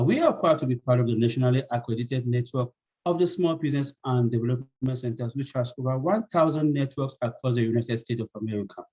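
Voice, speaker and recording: A man's voice: medium pitch, warm tone, highs cut off. A man working as a training coordinator, hosting a webinar over a video call, polite and apologetic, with many fillers.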